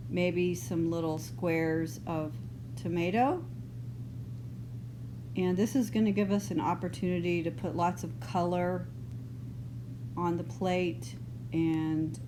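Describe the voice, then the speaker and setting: A middle-aged woman from Texas with a mild, partly northern Texas accent, teaching a drawing lesson in a relaxed, chatty way as she works. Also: on the low side. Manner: relaxed, unhurried, loose and chatty. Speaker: art teacher. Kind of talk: drawing lesson, thinking aloud. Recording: noisy home recording.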